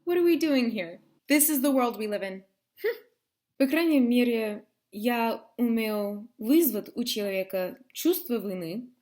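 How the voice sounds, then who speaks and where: A woman's voice: fairly high pitch, unhurried. Woman speaking wry, Russian. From United States. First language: English. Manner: wry, deadpan asides, switching into English. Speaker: vlogger. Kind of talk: casual vlog monologue.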